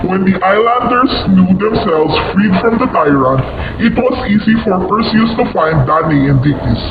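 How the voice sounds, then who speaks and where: A man's voice: sits high, expressive, normal speed, creaky. A man narrating a scripted radio play as a storyteller, on an echoey, muffled recording with music.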